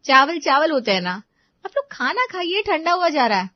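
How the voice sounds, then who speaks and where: A woman playing a thrifty, practical young daughter-in-law who talks briskly and defensively in a family story. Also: high voice, big pitch swings, no echo, lo-fi sound, pitched above the performer's own voice.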